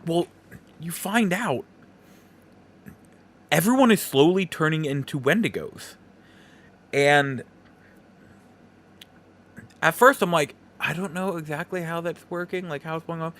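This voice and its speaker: A man's voice: on the high side, a little slow, long pauses, fairly bright tone. A man hosting a podcast, talking through a casual, rambling review in a conversational way. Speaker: podcast host. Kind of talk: casual movie review monologue.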